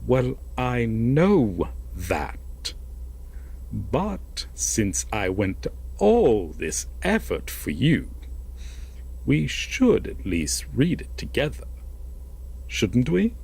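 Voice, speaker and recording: A man playing an obsessive, possessive lover in an intimate roleplay audio drama, speaking deliberately and coaxingly with dramatic pauses and a husky voice. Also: mid-range voice, huge pitch swings, a little mellow, full character voice. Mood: coaxing, smug. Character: possessive, obsessive lover telling a veiled fairytale.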